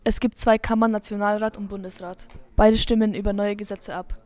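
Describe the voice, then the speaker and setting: A woman's voice: medium pitch, little pitch movement, a little fast, a little mellow, no room sound. A teenage girl reading explanations from a script for a school podcast. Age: teens. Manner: reads script lines. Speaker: student podcast speaker.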